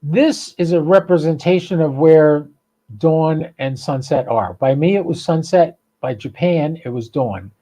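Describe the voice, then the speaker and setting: A man with a fairly high but mellow, relaxed voice hosts an informal hobby stream. He talks in a loose, chatty way, thinking aloud as he rambles from one remark to the next. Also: slightly rough, poor audio. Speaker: amateur radio podcast host. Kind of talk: casual rambling live stream chat.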